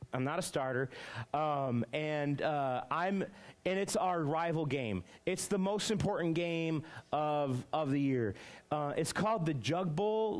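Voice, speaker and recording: A man in his thirties giving a loud, energetic youth group talk, storytelling with many fillers in a church youth room. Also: fairly high pitch. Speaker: youth pastor.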